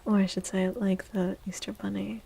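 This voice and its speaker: A woman host speaking softly and gently in a livestream ASMR style, breathy and often slipping into a whisper, drawing out her words. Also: mid-range voice, level tone. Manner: slow, gentle, drawn-out words. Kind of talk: soft-spoken ASMR livestream chat.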